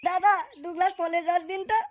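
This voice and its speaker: Very high-pitched scheming cartoon young man. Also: brisk. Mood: sly, gloating. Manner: crude, swearing, excitable. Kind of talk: comic cartoon dubbing.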